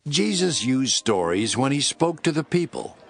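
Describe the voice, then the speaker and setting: A man narrating scripture from a script in a measured storytelling manner. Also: medium pitch, very animated, vocal fry, fairly bright tone, faint music behind.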